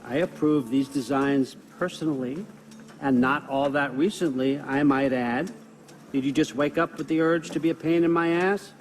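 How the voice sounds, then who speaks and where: Man playing a wry, high-pitched old god-king. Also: fairly even intonation, moderate pace, a little hiss or hum, lightly acted. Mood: biting authority. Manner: offhand, wry, talking like a busy boss. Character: sly, powerful old god-king, brisk, casually commanding. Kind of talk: naturalistic video game cutscene acting. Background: background music.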